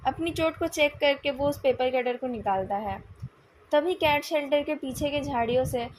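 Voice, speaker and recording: A woman's voice: high voice, normal speed. A woman with an Indian accent reading out scripted narration as the storyteller of a novel. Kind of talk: scripted narration of a novel, retold with acted dialogue.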